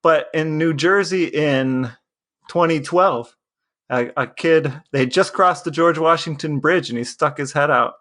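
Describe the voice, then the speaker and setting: Male co-host, slightly higher-pitched and sharp-edged, halting yet emphatic conversational delivery. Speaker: podcast co-host. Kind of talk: casual bantering chat.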